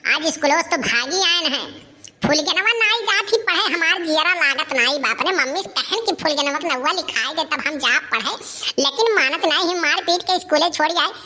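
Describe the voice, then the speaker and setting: A woman's voice: high-pitched, animated, moderate pace, sharp tone. A woman voicing a cheeky, whiny schoolboy from a village in a cartoon, with an Awadhi accent and a pleading, scheming way of talking. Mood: grumbling. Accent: Awadhi, eastern Uttar Pradesh. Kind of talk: dialect comedy cartoon voice acting.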